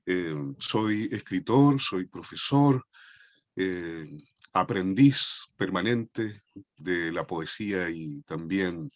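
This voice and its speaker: A man with a Chilean accent, a poet opening his lecture slowly and thoughtfully, with many hesitations over a video call. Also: sits low, lively intonation, no echo. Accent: Chilean Spanish. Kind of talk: formal lecture introduction.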